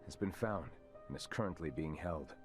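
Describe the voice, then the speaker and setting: A man's voice: a bass voice, animated. An actor playing a composed, formal male military commander in a video game cutscene. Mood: measured. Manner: formal, measured, courteous. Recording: game cutscene with music behind.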